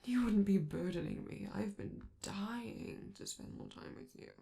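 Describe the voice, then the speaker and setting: A woman playing a flamboyant, protective robot entertainer in an intimate ASMR comfort roleplay, speaking softly and theatrically with affection, hesitance and breathy drops into whispers. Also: mid-range voice, sing-song, normal speed. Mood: reassuring, protective.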